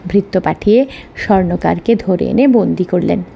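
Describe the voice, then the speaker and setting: A woman's voice: lowish voice, moderate pace, gravelly, breathy edge, lo-fi sound. A woman narrating a scripted children's story as a storyteller. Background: soft background music.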